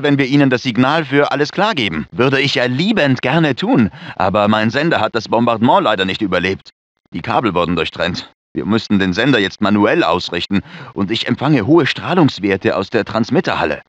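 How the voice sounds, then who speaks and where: A man's voice: mid-range voice, animated, fairly quick, dry recording, close to an everyday voice. A male actor playing an anxious, fussy research scientist in a dubbed video game, speaking formally and precisely with nervous urgency. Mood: tense, explanatory. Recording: dull, lo-fi game audio clips.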